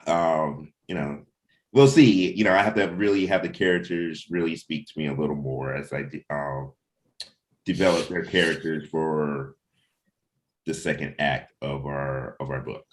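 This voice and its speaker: A man's voice: very deep voice, normal speed. A man talking casually as a writer about creative work, rambling and repeating himself as he thinks aloud. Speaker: comic book writer.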